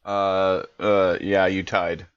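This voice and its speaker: A man's voice: deep voice, level tone, slow, smooth. A man playing a tabletop game, improvising casually in character, on a slightly dull, muffled recording.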